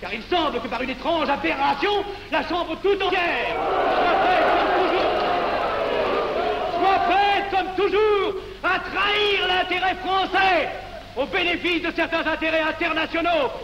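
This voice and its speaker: Fiery high-pitched deputy haranguing parliament. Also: male voice, unhurried, fairly bright tone. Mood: defiant. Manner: declamatory, emphatic. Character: fiery indignant young nationalist parliamentary deputy. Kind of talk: 1940s film acting, political oratory. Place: large parliament chamber set, crowd behind. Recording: dull noisy 1940s film, echoing chamber, crowd.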